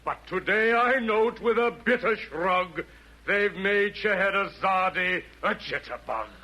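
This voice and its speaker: Casting: fiendish, vain aesthete emperor, high-pitched and animated, affected diction, 1940s radio comedy. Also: male voice, slow, highs cut off, full character voice. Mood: smug, scheming. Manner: affected, florid rhyming delivery. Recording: old 1940s radio broadcast.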